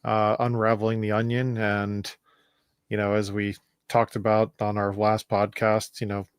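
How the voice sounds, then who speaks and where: A man speaking as a podcast guest in a relaxed, measured way, often saying "you know". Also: on the low side, clean tone, dry recording, studio quality. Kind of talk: casual interview talk.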